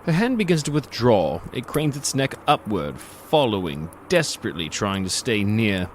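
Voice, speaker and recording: An actor playing a gloating predatory monster who narrates a dark fantasy audio drama in a theatrical, savoring, sardonic manner. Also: male voice, on the low side, sing-song, normal speed, a little hiss or hum. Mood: intrigued, scornful.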